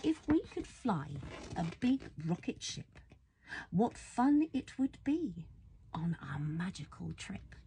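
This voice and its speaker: A woman reads a children's bedtime story aloud in a soft, husky voice, affectionate and playful, full of wonder. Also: medium pitch, very animated, slow, slight background noise. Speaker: storybook reader.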